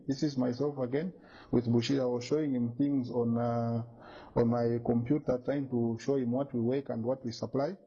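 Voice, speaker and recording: Indignant man, husky and dark. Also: medium pitch. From Zambia. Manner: indignant, insistent, repeats points. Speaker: businessman making a complaint. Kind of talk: emphatic accusatory statement to media. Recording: dull lo-fi recording.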